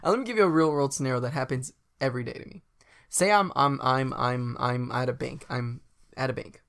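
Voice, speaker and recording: A man's voice: mid-range voice, fairly quick, gravelly, fairly bright tone. A young man co-hosting a casual podcast, goofy and jokey, often repeating himself. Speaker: podcast co-host. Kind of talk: casual chat.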